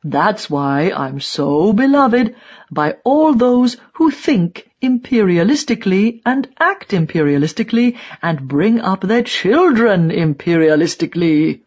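A woman's voice: medium pitch, sing-song, measured pace, darkish tone, slightly rough, lo-fi sound. A woman voicing a stubborn, angry old East German male propagandist in an audiobook, emphatic and sarcastic. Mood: bitterly sarcastic. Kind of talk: dramatised audiobook dialogue.

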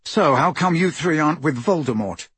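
A defiant teenage boy wizard , as a male reader plays him, answers wryly and a little out of breath. The voice stays close to the reader's own, strongly husky and recorded dry. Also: fairly high pitch, animated, normal speed, a bit bright, dry recording, full character voice. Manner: panting, wry, defiant. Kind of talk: audiobook reading.

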